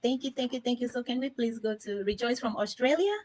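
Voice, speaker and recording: A woman from Ghana speaks in a fairly high voice as the host of a live panel discussion. Her way of talking is effusive and full of gratitude. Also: fairly even intonation, quick pace, darkish tone. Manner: effusive, repeatedly thanking.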